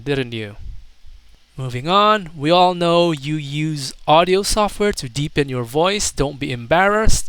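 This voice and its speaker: A man's voice: medium pitch, fairly bright tone, smooth, no room sound. A young adult man casually reading out internet comments as a commentator, with mocking, incredulous, slangy asides. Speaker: video commentator.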